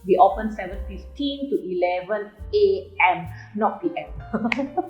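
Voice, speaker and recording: Brief: Indonesian-accented woman, Javanese native, lively extrovert guest, recorded in a roomy shop. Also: mid-range voice, measured pace, muffled recording, faint music behind. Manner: bubbly, laughs often, sentences restart. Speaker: guest, nutrition club owner. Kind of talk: casual interview chat. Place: small shop café indoors.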